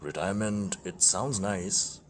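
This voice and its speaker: A man's voice: low-pitched, unhurried, slight background noise. A man playing a kindly, fatherly older office worker nearing retirement in a radio play. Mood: wistful, chuckling. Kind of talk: amateur radio drama acting.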